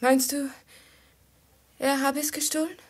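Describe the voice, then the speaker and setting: An actress playing an anxious, pleading princess with halting, dramatic delivery in a 1950s radio play. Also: female voice, high-pitched, flat delivery. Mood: pleading, defensive.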